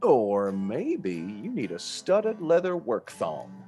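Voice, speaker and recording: A man's voice: on the low side, huge pitch swings, takes it slowly, mellow, faint music behind, full character voice. A man playing a courteous, folksy shopkeeper, giving obliging sales patter in a comic radio ad.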